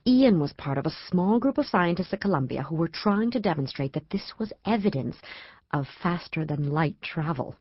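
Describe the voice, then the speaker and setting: Worried physicist wife in a radio play, husky voice, really animated, halting and earnest. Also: female voice, fairly low pitch, dry recording, dull audio. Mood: intent, explaining. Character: distraught astrophysicist wife, anxious, educated, drinking, worried for husband. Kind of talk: audio drama acting.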